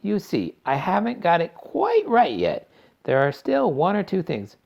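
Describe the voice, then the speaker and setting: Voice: medium pitch, huge pitch swings, unhurried, vocal fry, lo-fi sound, voice pushed up, heavily acted. A performer voicing an eccentric male candy inventor in a storybook, fretful, apologetic and pleading. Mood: gentle caution.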